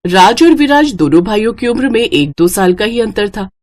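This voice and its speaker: A female storyteller reads scripted tales expressively. Her mid-range voice moves with very lively intonation. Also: mid-range voice, moderate pace.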